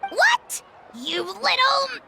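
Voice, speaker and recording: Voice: very high-pitched, wildly swooping pitch, extremely slow, vocal fry, over a noisy crowd, heavy background noise, heavily acted. A performer voicing a tiny, boastful, arrogant dragon creature in a video game, with a bratty, scornful manner. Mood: indignant. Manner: bratty, haughty, scornful, blustering. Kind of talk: animated video game voice acting. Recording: music playing behind the voice.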